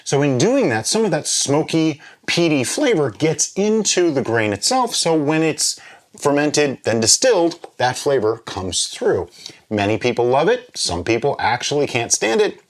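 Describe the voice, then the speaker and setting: A man hosting a drinks review vlog, chatting casually and explaining as he muses aloud, with pauses and little check-ins. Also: on the high side, big pitch swings. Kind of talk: casual chat, tasting review.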